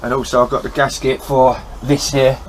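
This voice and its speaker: A man's voice: medium pitch, little pitch movement, normal speed. Guy helping on a diy job, offhand bits of chat while busy, pretty noisy behind him. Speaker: helper on the boat restoration. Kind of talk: casual working chat. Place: on a small boat being restored. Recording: clear background noise on location.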